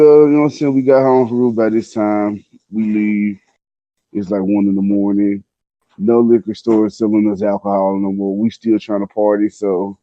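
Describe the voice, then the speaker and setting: A man's voice: on the low side, dry recording, highs cut off. A man telling a personal story in casual slang, laid-back and conversational, acting out the dialogue as he goes.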